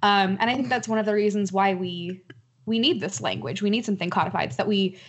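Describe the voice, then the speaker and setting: A woman's voice: mid-range voice, fast talker, slightly rough, lo-fi sound. A woman making her case earnestly and articulately in a critical panel discussion. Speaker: roundtable panelist.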